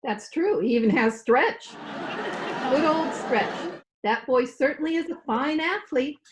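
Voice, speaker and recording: Radio comedy role: dotty, talkative older landlady played by a woman, highish pitch, swooping intonation. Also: lively intonation, measured pace, crowd noise, noisy background. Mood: chatty, earnest. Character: absent-minded, chatty older landlady. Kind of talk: old-time radio comedy acting. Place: recorded remotely at home.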